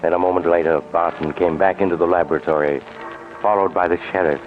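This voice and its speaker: An actor playing a grave storyteller, narrating a suspenseful 1940s radio mystery in scripted style. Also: male voice, low-pitched, steady tone, quick pace, lightly acted. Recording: muffled vintage radio recording. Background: faint music.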